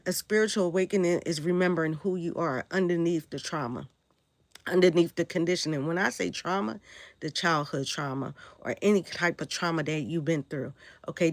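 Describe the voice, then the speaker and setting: A woman from Brooklyn with a New York accent, hoarse and raspy from a sore throat, talking loosely on a live stream and often asking "right?". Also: lowish voice, no echo. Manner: rambling, loose, frequent "right?" and "like". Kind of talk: casual live-stream talk answering chat.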